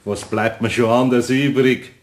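An older Bavarian master craftsman, acted by a man with a mid-pitched voice. He is dry, homely and kindly under his grumbling, heard in a roomy radio play recording. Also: steady tone, a little slow, natural voice. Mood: softening, resigned. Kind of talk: German children's radio play acting.

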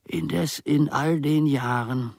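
Casting: brooding, aging manservant, radio drama; dry close sound, gravelly voice, ornate self-dramatising delivery. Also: male voice, fairly high pitch, slow pace, a little mellow, dry recording, exaggerated voice. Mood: wry, wretched. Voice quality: gravelly, husky. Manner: rambling, archaic, self-dramatising. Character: bitter, aging manservant brooding over his wretched life. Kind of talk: theatrical radio drama monologue.

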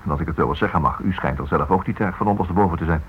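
An actor playing a polite, hesitant writer in a Dutch radio play, probing in a halting way and repeating himself. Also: male voice, low-pitched, slight background noise, natural voice. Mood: awkward. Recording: muffled, narrow-band old radio recording.

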